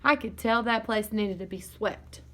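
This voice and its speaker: A woman reading a children's novel aloud as narrator, in an expressive storytelling style. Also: medium pitch, normal speed.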